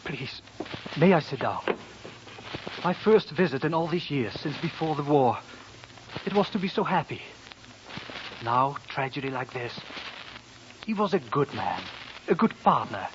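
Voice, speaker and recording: A man's voice: fairly high pitch, sing-song, a little slow, pauses often, lo-fi sound. Male merchant, formal and mournful, swooping intonation, 1940s radio drama on a faintly noisy recording. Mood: solemn, formal. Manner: formal, stiff, foreign phrasing. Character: grave, formal Dutch businessman, outwardly grieving, money-minded.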